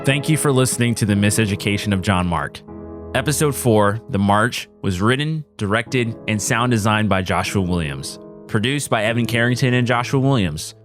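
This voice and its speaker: A man's voice: fairly low pitch, normal speed, soft background music. A man reading scripted podcast credits as an announcer.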